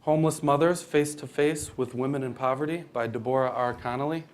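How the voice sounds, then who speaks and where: A man's voice: mid-range voice, fairly even intonation, brisk. A young American man reading scripted narration for a readers theater performance, formal, with occasional stumbles over the text. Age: young adult.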